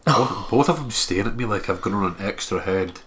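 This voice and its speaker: Low-voiced man, noisy recording. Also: expressive, moderate pace, fairly bright tone. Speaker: let's play co-host reading narration aloud. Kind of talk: casual let's play read-aloud and chat. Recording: clear background noise.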